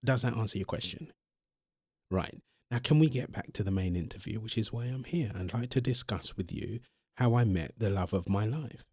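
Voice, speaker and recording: A man's voice: lowish voice, moderate pace, darkish tone, slightly rough, no echo, clean studio sound. A man playing an earnest centuries-old vampire in love, polite and insistent, in an ASMR-style audio roleplay. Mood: explaining, firm. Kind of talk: ASMR audio roleplay, one-sided interview.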